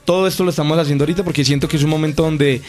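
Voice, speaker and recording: Man, singer guest, fast-paced, slightly high voice, faint music behind. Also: fairly even intonation. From Colombia. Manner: eager, talks at length, passionate. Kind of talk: radio interview.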